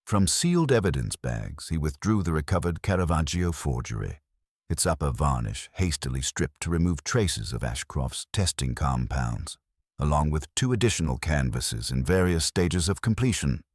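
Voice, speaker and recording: A man narrating a detective story in a measured, formal storytelling manner. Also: extremely low pitch, big pitch swings, slightly rough, no echo, studio quality. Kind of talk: scripted audiobook narration.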